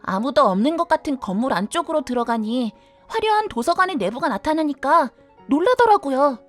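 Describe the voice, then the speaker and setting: A woman plays a youthful keeper of a magical library in a fantasy audio drama. The voice is high, with sweet, theatrical courtesy and an eager welcome. Faint music sits behind it. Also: expressive, brisk. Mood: gentle, knowing. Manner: polite, sweet, theatrical formal speech.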